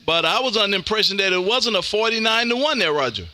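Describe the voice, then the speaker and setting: Bright, high-pitched American man hosting. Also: sing-song, fast, dry recording. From Texas, United States. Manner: folksy, says "man" often, radio call-sign jargon. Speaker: amateur radio livestream host. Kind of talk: casual ham radio chat.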